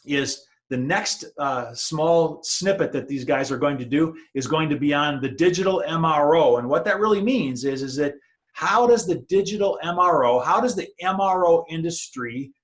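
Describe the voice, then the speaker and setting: Higher-voiced male interview host. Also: animated, normal speed. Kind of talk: conversational interview hosting.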